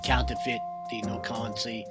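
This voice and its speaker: An actor playing a clever, courteous, self-assured detective with a French accent and a formal, theatrical way of explaining, in an old-time radio mystery style. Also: male voice, medium pitch, steady tone, normal speed, gravelly, loud music behind the voice, natural voice. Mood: confident, explaining.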